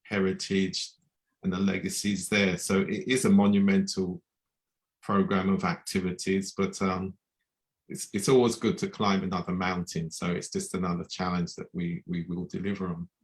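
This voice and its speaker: Deep, flat-voiced male event host. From United Kingdom. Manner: measured, with repeated restarts. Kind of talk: hosting an online community event.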